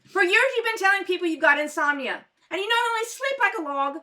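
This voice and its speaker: A woman's voice: very high voice, expressive, fast talker, bright, forceful tone, exaggerated voice. A woman playing a nagging, aggrieved, sleepless wife in an old-time radio comedy, complaining dramatically. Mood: exasperated.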